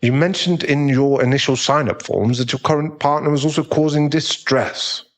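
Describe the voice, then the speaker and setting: Casting: male actor as composed, frank therapist; formal, tactful, measured audio-roleplay delivery. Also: fairly high pitch, lightly acted. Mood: probing. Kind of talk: dramatic audio roleplay acting.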